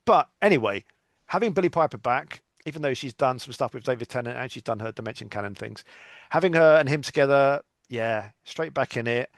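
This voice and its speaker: A man's voice: mid-range voice, expressive, a little fast, no echo, clean studio sound. A man talking as a podcast guest in a casual, informal fan chat, chatty and a little self-deprecating. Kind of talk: casual chat about sci-fi audio dramas.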